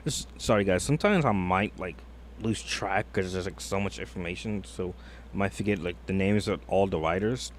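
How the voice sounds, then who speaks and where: A man's voice: low voice, moderate pace, slight background noise. A man streaming a reaction video, thinking aloud in a hesitant, rambling way full of fillers. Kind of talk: casual reaction commentary.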